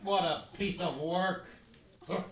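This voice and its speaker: An actor playing an irascible, cynical 19th-century American newspaper editorialist in a theatrical, sardonic stage radio play. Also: male voice, high-pitched, fairly even intonation, slow, creaky, exaggerated voice. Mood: irritated, blustering. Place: playhouse stage. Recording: echoey hall, distant mics, faint noise.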